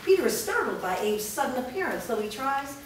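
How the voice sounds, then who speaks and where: A woman's voice: sits high, sing-song, a little fast. Woman narrating in a very echoey room. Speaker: narrator reading stage directions. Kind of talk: scripted narration. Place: indoor performance room, seated before an audience. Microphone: far camera. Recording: distant mic, very echoey noisy room.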